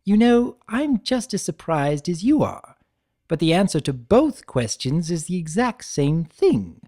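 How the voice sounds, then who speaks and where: A man voicing a mischievous chaos spirit in a fantasy story, energetic, coy and theatrical, with a teasing way of talking. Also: sits high, measured pace, voice pushed up, heavily acted. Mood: playful, coy.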